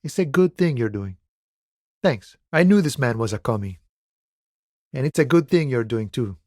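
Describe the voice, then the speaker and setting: An actor playing an easygoing, philosophical union dockworker in a video game, talking in a relaxed, wry and familiar way. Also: male voice, medium pitch, moderate pace, no room sound, clean studio sound, close to an everyday voice. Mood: friendly, reflective. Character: laid-back philosophical union dockworker, easygoing, wryly friendly man. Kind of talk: video game dialogue voice acting.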